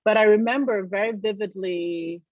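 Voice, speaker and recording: A woman's voice: mid-range voice, normal speed, no room sound. A middle-aged woman from Barbados, a psychologist, reflecting thoughtfully as a guest in an interview. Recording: dry tinny phone-like line.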